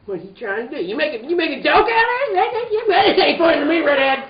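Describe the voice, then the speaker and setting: A woman playing a rough, nervous outlaw henchman in a radio western, slangy and stammering with bluster. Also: high-pitched, big pitch swings, fast talker, crowd noise. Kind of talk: 1940s radio western acting. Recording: roomy, dull lo-fi recording.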